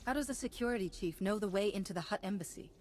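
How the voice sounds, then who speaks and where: An actress playing a composed, resolute Jedi master, formal and confident in a measured way. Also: female voice, mid-range voice, faint music behind, close to an everyday voice. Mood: composed, inquiring.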